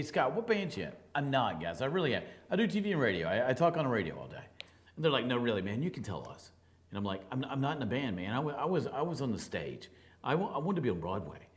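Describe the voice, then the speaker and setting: A man with a booming baritone voice, hosting a talk show and speaking in an emphatic, conversational monologue. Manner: conversational, emphatic, acts out quoted people. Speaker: radio and TV talk show host.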